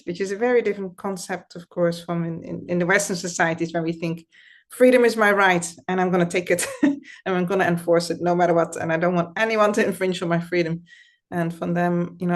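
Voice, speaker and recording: A middle-aged woman with a Dutch accent, speaking over a video call as an interview guest and talking in a thoughtful, reflective way with soft laughs. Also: fairly low pitch. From Netherlands. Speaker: guest, founder and system scientist. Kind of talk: conversational interview.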